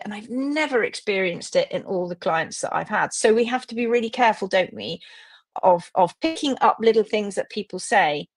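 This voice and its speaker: A woman hosting a live stream, talking casually to viewers about feeding and greeting them by name. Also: lowish voice. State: slightly croaky voice. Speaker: host of a live hoof care stream.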